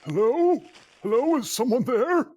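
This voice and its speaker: A man's voice: very high voice, big pitch swings, measured pace, a little mellow, exaggerated voice. A man playing a lost hermit stranded for years, hesitant and stammering, in a comedic audio drama. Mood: anxious.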